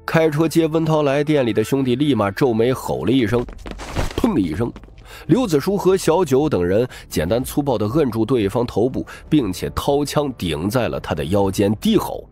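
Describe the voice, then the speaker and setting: A man's voice: medium pitch, very animated, moderate pace, warm tone. A man giving dramatic scripted narration of a crime novel as an audiobook narrator. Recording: music bed behind the voice.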